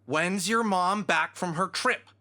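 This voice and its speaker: A man voicing a young, teasing, excitable fox adventurer in a cartoon audio drama. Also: high voice, slow, a little hiss or hum, heavily acted. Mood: urgent, excited. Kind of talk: animated audio drama acting.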